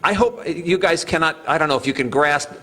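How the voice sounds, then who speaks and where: A man's voice: fairly high pitch, fairly quick, bright, forceful tone. A man reporting live for local TV news from inside a house under construction, interviewing with chatty enthusiasm. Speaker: TV field reporter. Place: home under construction, indoors.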